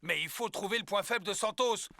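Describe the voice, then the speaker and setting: Creaky, high-voiced cartoon teammate. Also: male voice, a little fast. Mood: puzzled. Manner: puzzled, doubtful. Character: comically literal young male football teammate. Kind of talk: dubbed cartoon dialogue. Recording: music behind the voice.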